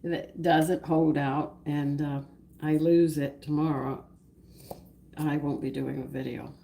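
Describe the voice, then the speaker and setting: Deep-voiced woman, hoarse, chatting through a recipe. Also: little pitch movement, a little slow, dark tone. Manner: chatty and homey, talking through each step. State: hoarse, losing her voice. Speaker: cooking show host. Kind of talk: live-streamed cooking demonstration, greeting viewers by name. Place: home kitchen. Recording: noisy home kitchen live stream. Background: clinking dishes and cutlery.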